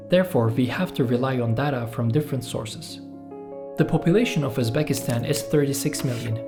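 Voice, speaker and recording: A man with a Turkish accent, a native Turkish speaker, reading a scripted explainer narration in a measured, steady way. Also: mid-range voice. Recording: music bed under the voice.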